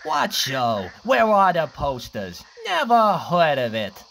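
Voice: mid-range voice, very animated, slow, slight background noise, voice pushed up, heavily acted. A storyteller voicing a pack of mean hyenas who speak in a mocking, sneering way. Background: soft music.

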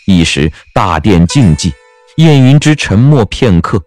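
Animated low-pitched male storyteller. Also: unhurried, faint music behind. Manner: wry, expressive. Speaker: narrator. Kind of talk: scripted storytelling narration.

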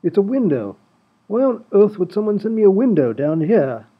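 A man playing a prim, put-upon lord in a comedy radio play, formal and fussy, with a husky voice. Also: sits high, sing-song, normal speed, exaggerated voice. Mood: puzzled, exasperated. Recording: tinny phone-like audio, faint music.

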